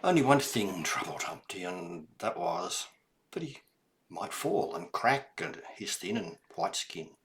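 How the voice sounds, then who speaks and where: An older man reading a children's story aloud, deliberate and emphatic, with explanatory asides to young listeners. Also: fairly low pitch.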